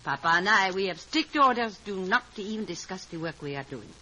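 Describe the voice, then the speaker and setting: An actress playing a stern young Communist agent with a halting, coolly warning manner in a 1950s radio drama. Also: female voice, medium pitch, animated, pushed and bright. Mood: guarded. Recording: faintly noisy vintage radio recording.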